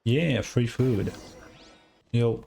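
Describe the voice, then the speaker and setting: Female streamer, strikingly low pitch, offhand live commentary, understated, music in the background. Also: drawn-out words, creaky, warm tone, a little hiss or hum. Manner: low-key, brief interjections. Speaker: streamer reacting to a game. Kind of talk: casual live reaction commentary. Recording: game music behind.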